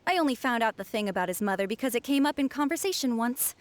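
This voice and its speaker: A woman's voice: on the high side, animated, quick pace, natural voice. A woman playing a lovesick, defensive young woman in a video game, emotive and indignant. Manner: emotive, huffy. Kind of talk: video game character dialogue.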